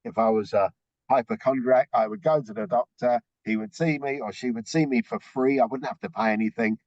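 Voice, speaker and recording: A man with a slightly croaky voice briefing a group on a video call, explaining things step by step and checking in with frequent okays. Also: medium pitch, fairly quick, no room sound, muffled recording. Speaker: orientation presenter.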